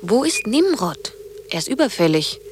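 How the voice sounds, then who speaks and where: A woman's voice: mid-range voice, big pitch swings, moderate pace, soft background music, close to an everyday voice. An actress playing a cool, self-reliant younger woman in a German radio drama, dry, blunt and dismissive. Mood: dry, wary.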